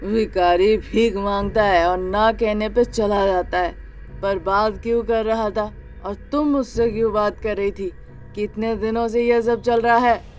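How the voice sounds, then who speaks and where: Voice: medium pitch, fairly even intonation, close to an everyday voice. An actor voicing a brutal, jealous, drunken husband in a dramatised story, threatening and domineering, with music behind. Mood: suspicious. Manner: slow, threatening, bullying. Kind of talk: dramatised Hindi audio story.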